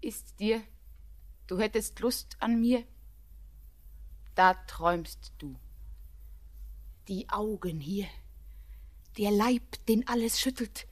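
An actress playing an anguished, devoted young bride in a classic German radio drama, with halting, impassioned poetic diction. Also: female voice, fairly high pitch. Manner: halting, breathy, impassioned declamation.

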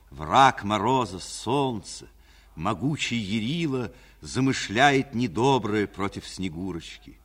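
Slow, low-voiced male storyteller. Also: fairly bright tone. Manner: measured storyteller delivery. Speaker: narrator. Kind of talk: scripted fairy-tale narration for 1940s radio. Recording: 1949 radio recording.

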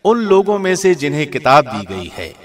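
A man formally reading a scripture translation in a measured, solemn radio presenter's manner. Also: a little high, sing-song. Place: studio.

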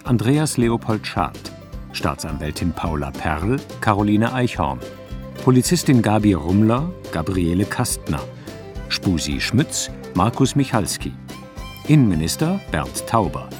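A man announcing scripted cast credits as the announcer of a radio play. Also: fairly low pitch. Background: prominent guitar music.

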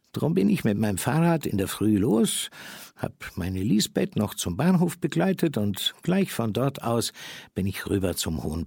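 Genial Bavarian storyteller, middle-aged, gravelly, animated pitch, self-assured radio-play recollection. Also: male voice, dry recording, clean studio sound, heavily acted. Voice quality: gravelly, husky. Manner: chatty, detailed, homely storytelling. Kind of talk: German radio play, first-person recollection.

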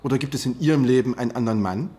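A man's voice: medium pitch, racing, dark tone, lightly acted. A man playing a nosy, dogged amateur investigator in a radio drama, with a blunt, insistent, probing manner. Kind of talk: naturalistic radio drama acting.

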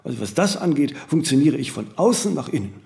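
Vain, animated celebrity monologuist, male. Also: medium pitch, creaky. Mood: self-assured, lecturing. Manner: fast-flowing, self-correcting, emphatic, peppering sentences with "ne?". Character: eccentric, vain, disciplined celebrity man, opinionated monologuist. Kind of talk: radio play dialogue, conversational interview answers.

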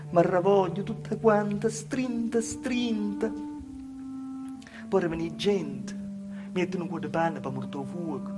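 An actor playing a humble, wronged southern Italian village woman in a plaintive theatrical monologue, with a Calabrian accent and repetitive storytelling. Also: on the high side. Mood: pained, stunned. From southern Italy, Calabria. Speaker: stage actor performing a monologue. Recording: live stage performance with music. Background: live music.

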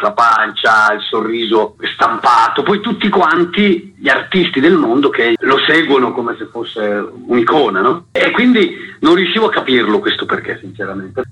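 A male actor giving a conversational radio interview, explaining things emphatically in a husky voice. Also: medium pitch, bright, forceful tone, rough recording.

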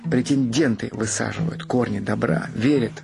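A man's voice: mid-range voice, normal speed, gravelly. Pompous mystic man, music behind. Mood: solemn, grandiose. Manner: lofty, declamatory, pompous phrasing. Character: eccentric mystic husband, solemn, grandiose, meditating dreamer. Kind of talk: radio play acting. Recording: studio radio drama with music behind.

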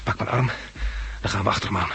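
A man's voice: fairly low pitch, fast, gravelly. An actor with a Netherlands Dutch accent playing a resolute, practical man who leads blind disaster survivors in a 1960s radio drama. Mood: hushed, tense. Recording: noisy, clicky 1960s radio recording.

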